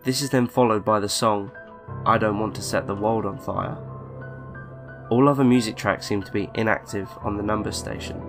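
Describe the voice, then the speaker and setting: A man reading a scripted online horror story in a measured, deliberate way, with pauses between phrases. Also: on the low side, fairly even intonation. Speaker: narrator of a creepypasta video. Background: background music.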